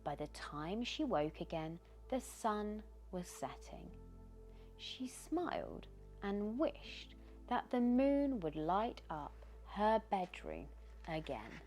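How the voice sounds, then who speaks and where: A British woman reading a children's bedtime story aloud in an expressive, dramatic way, often dropping into hushed tones. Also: mid-range voice, takes it slowly. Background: background music.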